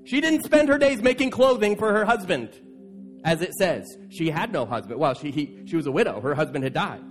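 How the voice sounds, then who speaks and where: A man with a fairly high voice delivers a sermon as a pastor. He talks conversationally to his congregation, telling the story in an animated manner and slipping into casual paraphrased quotes. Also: a little fast, soft background music. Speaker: pastor preaching. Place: church, speaking to an assembled congregation.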